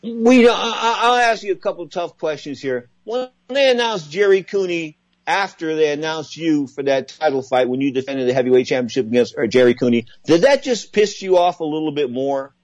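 A man's voice: on the high side, lively intonation, brisk, hardly any pauses, no echo. A man in his sixties hosting a boxing radio show, effusive and sentimental, repeating his phrases for emphasis. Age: 60s. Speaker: radio talk show host.

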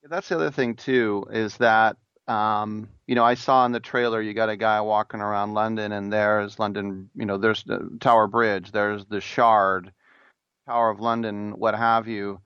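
A man's voice: on the low side, steady tone, normal speed, clean tone, dry recording, muffled recording. A man interviewing a guest, asking questions in an easygoing, chatty way.

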